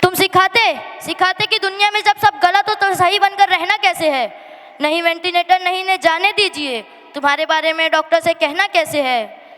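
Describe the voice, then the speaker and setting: A high female voice recites a dramatic poetic monologue. The delivery is plaintive and emphatic, full of feeling, and rings through a hall with long reverb. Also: steady tone, a bit bright. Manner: emotional, plaintive, emphatic delivery with drawn-out refrains. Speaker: monologue performer at a school annual day. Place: school annual day stage in a hall.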